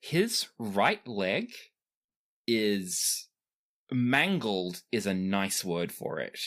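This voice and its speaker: A game master improvising casual, descriptive narration for a tabletop game, pausing for effect. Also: low-pitched. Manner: animated, descriptive, pauses for effect.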